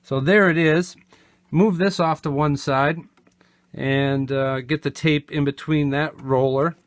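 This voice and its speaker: A man giving a casual hands-on product demo, explaining step by step in a plainspoken, unhurried way. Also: fairly high pitch, fairly even intonation. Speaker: vintage gear seller and demonstrator.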